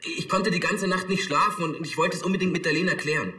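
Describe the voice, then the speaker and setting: An actor playing a nervous young apprentice in a crime drama, hesitant and defensive. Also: male voice, on the high side, fast, sharp tone, reverberant. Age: young adult. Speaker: actor in a TV police series.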